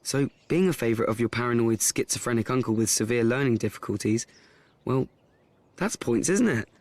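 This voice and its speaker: Radio play narrator: male actor as young nephew, frank, self-mocking, halting confessional delivery, middle register, very dry studio sound. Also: mid-range voice, lively intonation, darkish tone, dry recording, natural voice. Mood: frank, wistful. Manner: halting, repeats himself, conversational asides. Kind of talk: confiding first-person radio play monologue.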